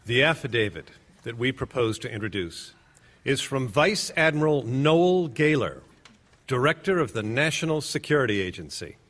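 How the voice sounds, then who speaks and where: An actor playing a careful government lawyer who questions a witness formally. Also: male voice, mid-range voice, sing-song, moderate pace, fairly bright tone. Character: measured male government prosecutor.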